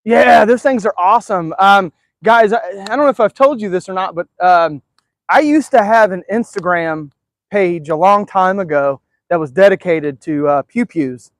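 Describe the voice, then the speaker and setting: High-voiced man rambling on a live stream. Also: normal speed, dry recording. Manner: rambling, filler-heavy, easygoing. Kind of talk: casual live-stream chat. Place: outdoors in a backyard.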